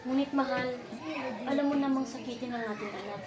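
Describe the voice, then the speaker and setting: A woman playing a gentle, doting mother who patiently encourages her son in an amateur historical drama. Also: high voice, a little fast, a loud crowd, lightly acted. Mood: worried. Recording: very noisy amateur recording.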